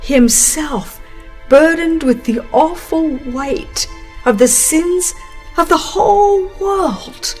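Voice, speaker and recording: Slow-paced woman narrator over music. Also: sits high, darkish tone. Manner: solemn, earnest, measured reading. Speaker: audiobook narrator. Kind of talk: dramatized scripted narration of a religious book.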